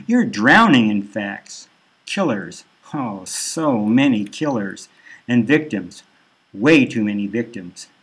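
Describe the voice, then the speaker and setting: A man's voice: fairly low pitch, big pitch swings, slow, fairly bright tone, husky, exaggerated voice, rough recording. A man voicing glib, solemn television news readers with a repetitive, portentous delivery. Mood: grave, portentous.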